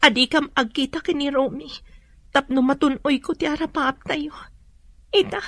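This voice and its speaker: High-voiced grieving young daughter. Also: female voice, steady tone, normal speed, a bit bright, no echo. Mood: sorrowful, insistent. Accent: Ilocano. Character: grieving young student daughter, stubbornly hopeful. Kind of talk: emotional radio drama acting.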